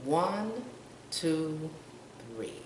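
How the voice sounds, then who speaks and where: An older woman reads a picture book to children in a slow, highly varied voice. She pauses to ask questions and point out pictures. The sound is echoey and a little far from the mic, with faint noise. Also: big pitch swings. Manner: asks young listeners questions, points out pictures. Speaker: storytime reader.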